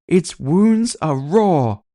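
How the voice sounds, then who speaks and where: An ancient, imperious elf woman, acted by a man, rallies her followers in an audio drama. The voice is lifted high and projected loudly. Each phrase is declaimed with grand, drawn-out passion. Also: expressive, dry recording, clean studio sound. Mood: impassioned. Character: centuries-old she-elf politician, fiery, grandiose rally orator. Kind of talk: political rally speech in audio fiction.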